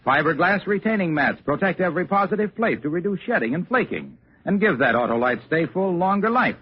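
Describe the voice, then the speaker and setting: An actor playing an upbeat male pitchman in an old radio advertisement, brisk and emphatic. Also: lively intonation, slightly rough, lo-fi sound. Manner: emphatic salesmanship. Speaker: radio commercial announcer. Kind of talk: 1940s-50s radio advertisement.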